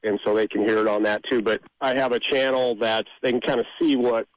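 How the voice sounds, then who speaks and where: A middle-aged man chatting casually as an interview guest, with a halting, rambling way of talking. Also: medium pitch, steady tone, no room sound, rough recording. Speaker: interview guest, amateur songwriter.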